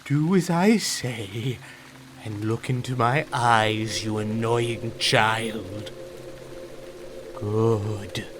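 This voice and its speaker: An actor playing a sinister, manipulative villain in an audio drama, with a deliberate, imperious delivery. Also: male voice, medium pitch, background noise. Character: sinister hypnotic male villain feigning distress, commanding and cruel.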